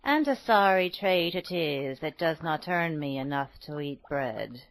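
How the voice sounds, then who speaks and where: A woman voicing a poor, bent old woman in a storybook, mumbling slowly and humbly. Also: low-pitched, expressive, dry recording, pitched below the performer's own voice. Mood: weary.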